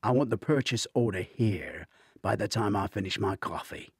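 A man voicing a gruff, hard-bargaining crime agency boss, curt and folksy, in an audiobook thriller. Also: on the low side, moderate pace, dry recording, studio quality. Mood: curt, firm. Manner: curt, tough, folksy negotiating.

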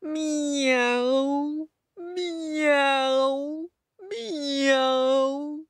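A woman's voice: high-pitched, animated, slow, fairly bright tone, no echo, pitched above the performer's own voice, exaggerated voice. A woman voicing a sad old female tabby cat in a storybook, with a mournful, meowing manner. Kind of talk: storybook character voice.

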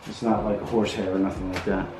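A man's voice: low-pitched, flat delivery, fast talker. A man giving casual hands-on painting lessons in an empty house, explaining patiently with everyday comparisons. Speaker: painter teaching how to paint doors. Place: empty carpeted room in a house. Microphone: camera a few feet away. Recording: echoey room heard from a distance.

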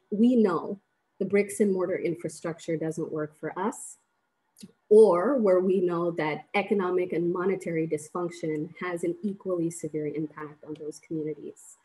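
A woman in her thirties from Vanuatu, giving an earnest, measured talk as a panelist on a video call from a small room at home. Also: fairly low pitch, dark tone, low-quality recording. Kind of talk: webinar presentation. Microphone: laptop webcam on a video call.

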